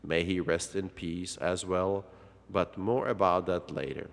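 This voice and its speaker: A man's voice: low-pitched, flat delivery, vocal fry. A man narrating a children's read-along story in a dramatic way, drawing out words for effect. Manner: dramatic, with drawn-out words and exclamations. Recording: long hall-like reverb.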